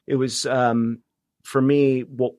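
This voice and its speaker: Man, podcast guest, medium pitch, halting, tentative, casual chat, dry close sound. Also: little pitch movement, a little mellow, dry recording. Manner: halting, tentative, thinking aloud. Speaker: podcast producer, interview guest.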